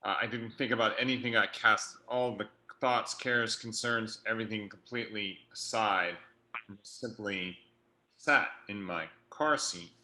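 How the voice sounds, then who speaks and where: A man with a naturally loud, very bright and forceful voice, pitched a little low. He talks in a measured, thoughtful way, with pauses. He is heard over a video call mic. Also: low-quality recording. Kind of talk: reflective group conversation. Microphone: computer mic on a video call.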